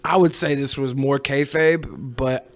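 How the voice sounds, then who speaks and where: A man's voice: medium pitch, fairly even intonation, unhurried. A man on a podcast panel, chatting casually and recounting anecdotes.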